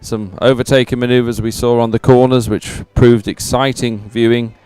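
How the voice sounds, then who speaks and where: A man commentates live on a sporting event in a smooth, clear voice at a middling pitch. He announces in a measured way, pausing often as he searches for the next detail. Faint music sits behind him. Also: little pitch movement, a little mellow, no echo.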